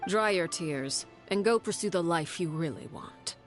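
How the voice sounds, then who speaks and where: Stern female authority figure for a game drama, played by a woman: low, measured, formal, music underneath. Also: close to an everyday voice. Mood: firm, restrained. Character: stern, composed woman who rules an orphanage as 'Father'. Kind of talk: dramatic video game voice acting.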